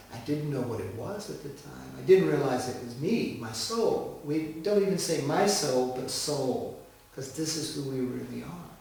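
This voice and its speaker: Middle-aged man, interview guest, soft storytelling, gravelly texture, distant and echoey. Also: mid-range voice, a little hiss or hum. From United States. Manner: gentle, unhurried, pauses with ums. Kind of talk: reflective storytelling interview answer. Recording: echoey room from far off.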